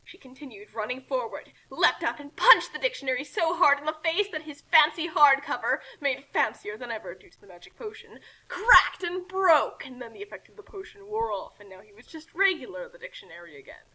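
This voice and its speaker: A woman reading a comic fan-fiction story aloud in animated narration, with casual asides. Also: sits high, brisk, hardly any pauses, husky, low-quality recording.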